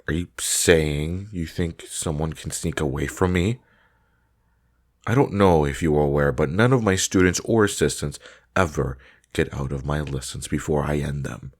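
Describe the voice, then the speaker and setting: Deep-voiced stern combat master played by a man. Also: moderate pace. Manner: curt, commanding, formal. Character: stern, demanding male combat training master in fantasy world. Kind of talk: scripted fantasy audio roleplay.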